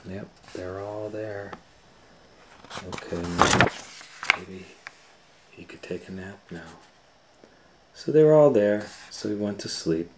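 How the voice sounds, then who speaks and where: Male storytime host, low register, unhurried pace, chatty read-aloud for young children. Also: noisy background. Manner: conversational asides and questions to listeners.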